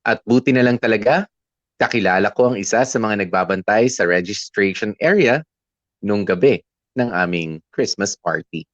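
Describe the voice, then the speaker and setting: A man's voice: on the low side, moderate pace, dry recording, close to an everyday voice. An actor playing a smitten young man telling his romance in candid, conversational Taglish narration. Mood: reflective, smitten. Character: lovestruck young male letter writer recounting his romance. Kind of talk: confessional first-person Taglish drama narration. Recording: dull, dry close recording.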